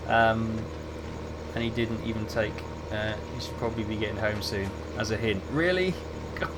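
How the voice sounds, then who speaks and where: A man's voice: on the low side, normal speed, background noise. A man who grew up in England chatting casually with viewers while he streams a game. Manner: relaxed, chatty, answers chat while playing.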